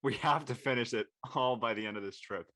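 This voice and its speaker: A young man acting a casual, youthful high-school boy in an online drama. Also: fairly quick, bright, forceful tone, dry recording, lightly acted. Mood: rowdy, teasing. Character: high-school boy, brash but soft-hearted friend.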